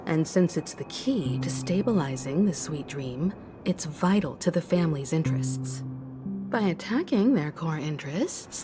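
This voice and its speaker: An actress playing a composed, courteous strategist leading a crew in a video game, formal and articulate. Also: female voice, lowish voice. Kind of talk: measured video game dialogue.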